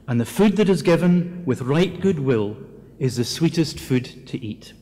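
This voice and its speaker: A genial little old Christmas visitor speaks with stately kindness, as a Scottish man recites him. The delivery is slow and unhurried. The hall adds a long reverb. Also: on the high side, a little mellow. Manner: kindly and stately. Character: genial small old stranger, Father Christmas.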